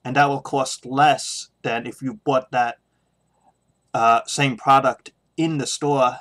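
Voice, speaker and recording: A middle-aged man talking unscripted as a video blogger, sharing his opinions in a halting, hesitant way with frequent pauses. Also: medium pitch, fairly bright tone. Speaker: video blogger on toy collecting.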